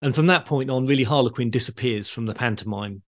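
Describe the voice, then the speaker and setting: Man explaining history, measured delivery. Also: mid-range voice. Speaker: podcast host.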